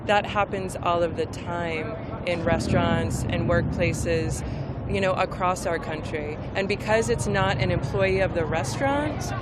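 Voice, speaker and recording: A woman in her forties from Maine, a restaurant server speaking bluntly and forcefully in a street interview at a protest march. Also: lowish voice, unhurried. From Maine, USA. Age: 40s. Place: outdoor city street march. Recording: loud street noise outdoors.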